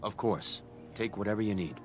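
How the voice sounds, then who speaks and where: A man's voice: lowish voice, a little fast, close to an everyday voice. A man playing an obliging merchant in a fantasy video game. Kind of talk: video game character acting. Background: game music.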